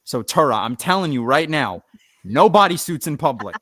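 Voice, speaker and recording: A man's voice: a little high, sing-song, moderate pace, bright, forceful tone, dry recording, clean studio sound. A man who works as a voice actor, chatting casually on a cast panel in a playful, jokey way.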